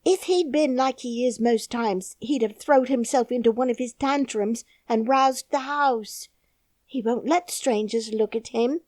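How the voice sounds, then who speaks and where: A woman voicing an excitable young housemaid with a Yorkshire dialect, speaking loud and high. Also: unhurried, dry recording, close to an everyday voice. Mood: alarmed. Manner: shrill, loud and agitated.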